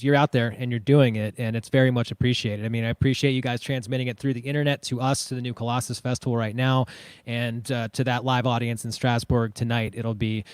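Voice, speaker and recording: A man's voice: mid-range voice, darkish tone, clear, resonant tone, no room sound. A man chatting casually as the host of a livestream. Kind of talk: casual chat.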